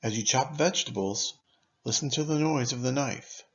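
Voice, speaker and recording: A man narrating a scripted guided meditation in a measured, instructive manner, pausing deliberately between phrases. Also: medium pitch, lo-fi sound.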